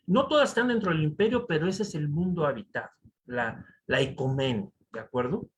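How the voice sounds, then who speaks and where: Lively high-voiced Mexican man lecturing. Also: a little fast. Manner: animated, talks to the audience with rhetorical asides. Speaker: conference speaker. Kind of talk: lecture with slides. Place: conference hall.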